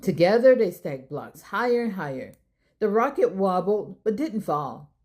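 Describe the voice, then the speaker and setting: Slow, lively woman reading to children. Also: medium pitch. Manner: expressive, addressing children directly. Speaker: storytime reader and host. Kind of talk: children's read-aloud narration.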